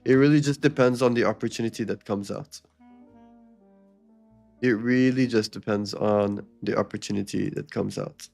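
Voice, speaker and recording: A man's voice: fairly low pitch, fairly even intonation, normal speed, gravelly, darkish tone, faint music behind. Man coaching viewers through live trading. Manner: coaching, repeats "okay?" to check understanding; reads viewer comments aloud and answers them. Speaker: livestream trading host. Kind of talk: casual live-stream commentary to chat.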